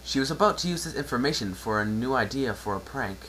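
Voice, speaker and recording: Male amateur narrator, mid-pitched, dramatic storybook reading, lightly noisy recording with hum. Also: lively intonation, quick pace, a bit bright. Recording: faint background noise and hum.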